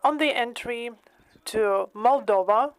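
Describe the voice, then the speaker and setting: A woman hosting and interpreting into English, speaking carefully with many pauses and fillers. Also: fairly high pitch, clean tone, dry recording, low-quality recording. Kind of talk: slow consecutive interpreting in English.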